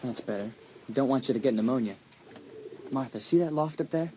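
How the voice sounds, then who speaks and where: A man acts a confident teenage boy in a dramatised audiobook. He is sporty, teasing and romantic, with a mid-pitched voice. Faint noise sits on the recording. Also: fairly quick, darkish tone, lightly acted. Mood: earnest, eager.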